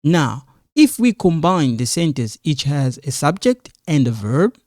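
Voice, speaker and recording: A man's voice: a little high, sing-song, unhurried, a little mellow, no echo, clean studio sound. A man who is not a native English speaker teaching an English grammar lesson in a casual, repetitive way, often checking in with "all right?". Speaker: ESL teacher. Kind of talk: casual classroom explanation.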